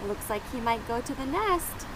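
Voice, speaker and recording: Expressive woman reading to kids outdoors. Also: fairly high pitch, normal speed. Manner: expressive, talking directly to young children. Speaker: teacher reading aloud to children. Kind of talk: picture-book read-aloud with asides to listeners. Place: outdoors near pet chickens. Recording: noisy outdoor recording, urban ambience.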